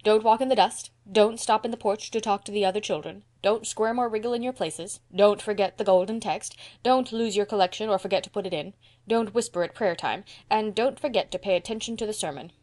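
A woman's voice: mid-range voice, fairly quick, darkish tone, lightly acted. A woman voicing a severe, bossy older village woman in a storybook, scolding and warning in a nagging way. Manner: stern, nagging, list-like warnings.

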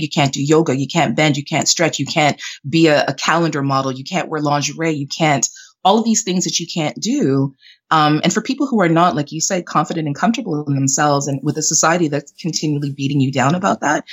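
A woman's voice: sits low, breathy edge. Earnest middle-aged woman guest. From Jamaica, raised in Canada. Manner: earnest, thoughtful, frequent fillers. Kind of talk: casual interview conversation.

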